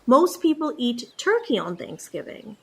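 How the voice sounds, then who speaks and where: A woman's voice: on the high side, very animated, unhurried, breathy edge. A woman playing a wry, reluctant reporter in a comedy sketch.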